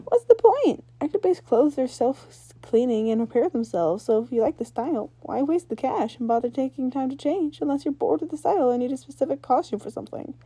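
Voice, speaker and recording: A woman's voice: sits high, lively intonation, fairly quick, hardly any pauses, warm tone, breathy edge, dry recording, voice pushed up. A woman voicing a brash, cocky teenage rock-star ghost girl with blunt, teasing, slangy delivery in a read-aloud story. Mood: matter-of-fact.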